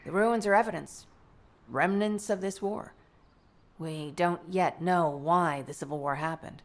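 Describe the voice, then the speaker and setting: An actress playing a composed, scholarly female doctor and mother in a video game, speaking in a measured, articulate, matter-of-fact way. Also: lowish voice, natural voice. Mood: composed, analytical. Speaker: medical doctor character. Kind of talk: scripted game dialogue, explanatory briefing.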